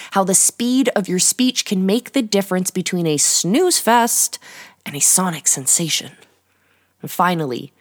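A woman podcast host delivering a scripted monologue in a storytelling style, with dramatic, deliberate phrasing and emphatic pauses. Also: fairly low pitch. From Canada.

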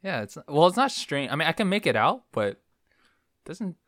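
Lively-voiced male podcast host. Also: fairly high pitch, no room sound, studio quality. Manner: teasing, quick to laugh. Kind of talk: casual chat.

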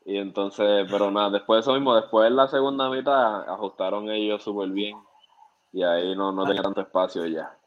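A young man, a basketball player being interviewed, answering in a low-key, agreeable way. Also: fairly even intonation, fairly quick, lo-fi sound.

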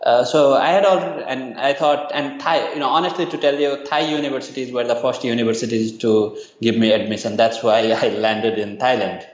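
A man's voice: mid-range voice, fairly even intonation, normal speed, few pauses, echoey room, lo-fi sound. A man from Nepal, a university lecturer, answering interview questions hesitantly with many fillers.

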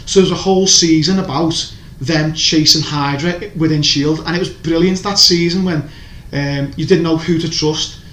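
A man's voice: a little high, fairly even intonation, moderate pace, hardly any pauses, a bit bright. A man chatting casually as a podcast co-host, rambling and opinionated, with lots of restarts. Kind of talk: casual chat reviewing TV shows. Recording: echoey room, faint background noise.

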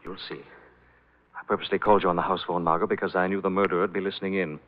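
A low-pitched, commanding gentleman detective acted by a man from a vintage radio thriller. He talks fast and urgently with dramatic swoops. The sound is thin and tinny like an old broadcast. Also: flat delivery, natural voice. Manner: urgent, authoritative, theatrical. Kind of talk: 1940s radio mystery acting.

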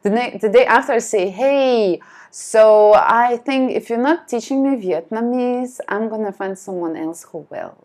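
A woman's voice: a little high, a little slow, a little mellow. Chatty woman speaking with non-native phrasing. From France. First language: Russian. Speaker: podcast guest. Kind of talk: casual chat.

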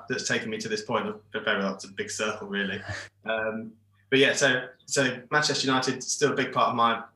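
A man's voice: on the low side, bright, forceful tone. A man in his forties from England, a shirt designer talking as a guest over a video call in a rambling, hesitant way with many restarts. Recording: echoey room over internet call.

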